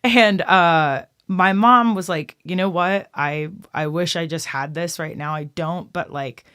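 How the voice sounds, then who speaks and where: A talkative woman in her thirties from Texas, speaking as a podcast guest. She rambles warmly through stories with lots of "like"s and little laughs. The sound is dry and close, with no room behind her. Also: lowish voice, unhurried, clear, resonant tone, dry recording. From Austin, Texas. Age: 30s. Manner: chatty, lively, laughs often, says "like" a lot. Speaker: guest musician. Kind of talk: casual podcast interview.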